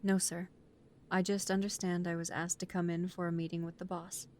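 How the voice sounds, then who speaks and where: A woman's voice: fairly low pitch, little pitch movement, moderate pace, a little mellow, slight background noise, lightly acted. A woman playing a nervous, deferential mafia recruit who speaks politely and formally, with hesitation, in an audio roleplay. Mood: dutiful, careful.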